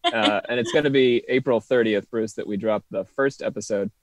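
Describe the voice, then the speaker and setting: A man's voice: fairly low pitch, normal speed. A man in his thirties, a theatre teacher, talking casually in an interview over a video call. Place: indoor room at home, on a video call.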